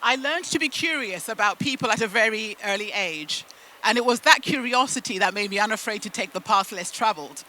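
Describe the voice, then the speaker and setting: A woman from the UK and Zimbabwe, a native Shona speaker, giving a motivational storytelling talk with deliberate phrasing and emphatic pauses. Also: medium pitch, animated, sharp tone, low-quality recording. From United Kingdom and Zimbabwe. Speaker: keynote speaker at a business event.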